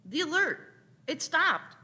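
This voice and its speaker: A woman's voice: high-pitched, big pitch swings, slow pace, bright, forceful tone, full character voice. A woman playing an anxious young traveling companion in a radio play, urgent and questioning. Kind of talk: radio play performed by amateur players.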